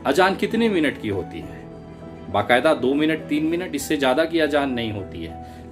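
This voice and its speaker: A man giving political commentary straight to viewers, in an emphatic, indignant and rhetorical manner. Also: on the high side. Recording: music bed under the voice. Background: background music.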